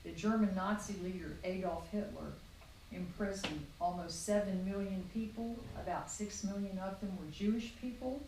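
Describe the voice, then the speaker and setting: A woman's voice: medium pitch, moderate pace, a little mellow, very echoey, recorded from a distance, faint music behind, poor audio. A woman of sixty-eight from Virginia, a grandmother answering her grandchild's questions at home, informative and friendly. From Virginia, USA. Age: 60s. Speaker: grandmother being interviewed. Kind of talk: family interview, casual recollection. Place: home, beside a fireplace.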